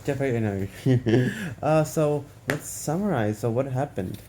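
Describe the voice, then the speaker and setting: A man's voice: mid-range voice, normal speed, background noise. A man hosting a game story read-along in casual, informal chat.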